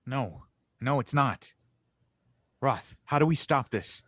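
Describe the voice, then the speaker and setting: A man playing an urgent, quick-thinking crewman in a sci-fi audio drama table read. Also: medium pitch, animated, fairly bright tone, no echo, studio quality. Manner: urgent, insistent.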